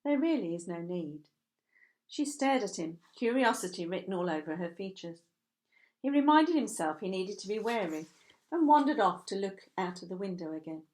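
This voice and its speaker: A woman's voice: mid-range voice, expressive, normal speed, a little mellow. A woman narrating a romance novel from a script, as an author reading her own work.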